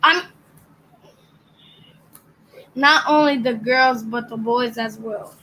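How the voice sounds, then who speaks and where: A young reader works through a picture book aloud, sounding each line out carefully and stumbling now and then. The voice sits a little high, and the pace is very slow and deliberate. Faint background noise runs underneath. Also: female voice, sharp tone, poor audio. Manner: careful reading, occasional stumbles on words.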